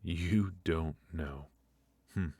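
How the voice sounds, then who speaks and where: A man playing a menacing, teasing mafia boss in an intimate ASMR roleplay, speaking with deliberate pauses and mock politeness. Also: low-pitched, dark tone, no echo, full character voice. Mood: probing, unhurried. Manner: slow, deliberate, mockingly polite with drawn-out pauses.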